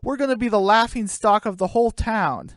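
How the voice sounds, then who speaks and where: A woman's voice: fairly high pitch, lively intonation, a little fast, no echo, studio quality, lightly acted. A woman voicing an exasperated, sensible female chicken, weary and scolding. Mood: regretful.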